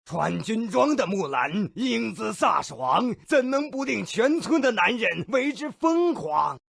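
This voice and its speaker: An actor playing a sneering, bloodthirsty, cynical gnomish storyteller in a video game, gravelly and raspy, with theatrical sarcastic delivery. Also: male voice, high-pitched, sing-song, unhurried, fairly bright tone, no echo, clean studio sound, exaggerated voice. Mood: sardonic storytelling. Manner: theatrical, sarcastic, gleefully morbid. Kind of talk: theatrical fairy tale narration.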